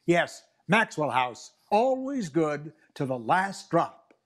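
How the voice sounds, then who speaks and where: An older man playing an enthusiastic coffee pitchman on a 1940s radio show. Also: big pitch swings, slow pace, a bit bright, exaggerated voice. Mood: selling.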